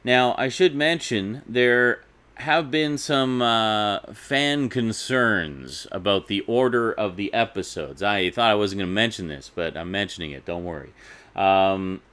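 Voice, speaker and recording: Low-voiced man chatting casually. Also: expressive, measured pace, a bit bright. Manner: chatty, informal, conversational asides. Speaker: video host reviewing a DVD collection. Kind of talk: casual unscripted collector vlog.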